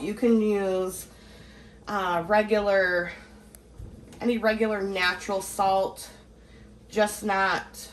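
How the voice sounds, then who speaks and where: A woman hosting a home canning tutorial, talking in a relaxed, chatty way, a little congested from a cold. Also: medium pitch, reverberant, slight background noise. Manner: relaxed, chatty, laughs easily. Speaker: home canning channel host. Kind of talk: casual cooking tutorial.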